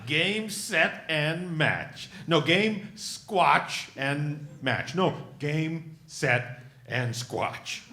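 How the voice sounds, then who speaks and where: A man's voice: fairly high pitch, expressive, drawn-out words, pushed and bright, exaggerated voice. A middle-aged man playing a gullible, earnest small-town everyman at a live cartoon table read, with emphatic comic delivery. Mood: smug playfulness. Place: theatre stage before an audience. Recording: echoey stage microphone, audience noise.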